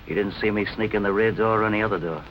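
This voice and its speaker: An actor playing a defiant nightclub owner in 1940s film noir style, brusque and deadpan. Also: male voice, fairly low pitch, very fast, dull audio, slight background noise, lightly acted.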